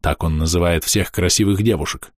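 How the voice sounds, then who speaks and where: A man reading a first-person diary aloud in a calm, matter-of-fact, measured way. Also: low-pitched, no room sound, clean studio sound. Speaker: audiobook narrator.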